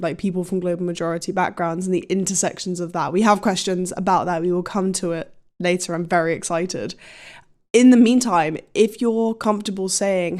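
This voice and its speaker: A woman's voice: fairly low pitch, a little mellow. A woman hosting a podcast interview, chatty and enthusiastic, with plenty of casual fillers. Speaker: podcast host.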